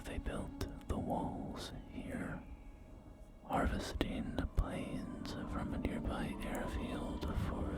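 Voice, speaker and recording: A man playing a folksy post-apocalyptic real estate agent in a whispered ASMR roleplay, with gentle, hesitant, reassuring sales patter. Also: on the low side, vocal fry, exaggerated voice. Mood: reassuring, informative. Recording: close whisper over soft music.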